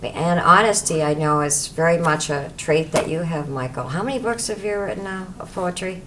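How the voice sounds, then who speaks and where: Low-voiced woman hosting a local TV interview. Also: moderate pace, background noise. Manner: chatty, encouraging questions. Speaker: host.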